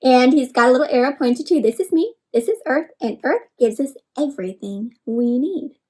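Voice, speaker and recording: A woman's voice: high voice, lively intonation, moderate pace, dark tone. A woman hosting an interactive read-aloud for children, speaking expressively and instructively and often putting questions to her young listeners.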